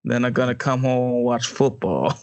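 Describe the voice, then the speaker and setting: An American man with a gravelly, creaky voice co-hosts a podcast in a very dry recording. He banters casually, with a blunt and joking way of talking, at an ordinary middle pitch. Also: normal speed, a little mellow, dry recording. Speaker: podcast co-host.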